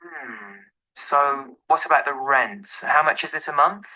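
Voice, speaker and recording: A man's voice: on the high side, unhurried, bright, forceful tone, rough recording. A man playing an easygoing young professional in an acted phone dialogue, speaking casually and informally. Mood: casual, friendly. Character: easygoing young male professional phoning to rent a flat.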